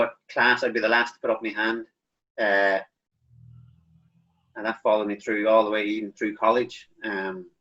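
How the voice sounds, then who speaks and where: A man in his forties speaks as an interview guest, frank and self-deprecating. His voice is bright and forceful. The recording sounds dull and low-fidelity. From Northern Ireland. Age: 40s. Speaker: dairy farmer, guest. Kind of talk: casual interview storytelling. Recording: dull lo-fi line.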